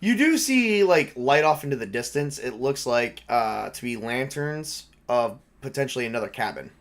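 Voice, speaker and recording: A man's voice: medium pitch, animated, normal speed. A man acting as game master, narrating and making rulings in a casual, off-the-cuff, conversational way.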